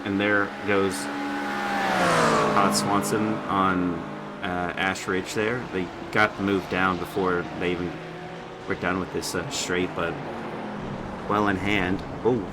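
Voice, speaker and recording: Male race commentator, very low pitch, conversational and off-the-cuff; noisy mic with a loud hum. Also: flat delivery, slow pace, long pauses. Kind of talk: casual sim racing commentary.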